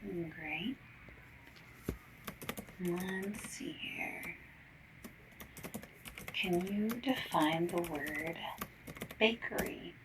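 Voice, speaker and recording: A woman doing an ASMR survey-caller roleplay, gently and politely asking questions in breathy soft speech and whispers. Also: fairly low pitch, wildly swooping pitch, drawn-out words, pauses often. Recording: lo-fi, noisy, slightly distant mic. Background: mechanical keyboard typing.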